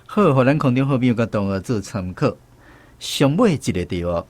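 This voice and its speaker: A man's voice: mid-range voice, animated, moderate pace, a little mellow. A man with a Taiwanese accent hosting a language lesson segment on the radio. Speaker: host of a translation quiz segment.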